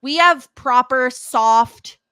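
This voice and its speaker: A woman from New York State with an American accent, co-hosting a podcast in casual, animated chat and laughing often. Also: sits high, takes it slowly, clean tone, no room sound, studio quality. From New York State, USA. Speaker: podcast co-host.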